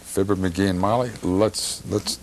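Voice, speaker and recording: An American man hosting a casual interview show with halting phrasing. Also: low voice, fairly even intonation, a little hiss or hum. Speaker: show host.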